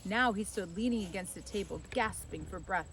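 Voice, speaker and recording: A woman reading an audiobook in expressive storybook narration. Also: mid-range voice, moderate pace, a little hiss or hum. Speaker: audiobook reader.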